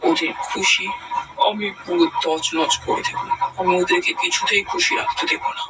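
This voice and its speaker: A woman's voice: on the low side, very animated, very noisy. A woman voicing a jealous, scheming middle-aged village neighbour in a cartoon, with a gossipy, insinuating way of talking. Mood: spiteful, plotting. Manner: gossipy, insinuating, drawn-out taunting. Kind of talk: cartoon voice acting. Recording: distant sound under loud music.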